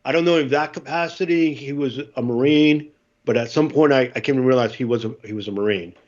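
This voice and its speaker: Brief: middle-aged male veteran, Spanish first language, lively storyteller, mid pitch, slightly dull lo-fi recording. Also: brisk. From United States. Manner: animated storyteller, acts out quoted dialogue. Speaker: guest, former Marine. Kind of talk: storytelling in a podcast interview.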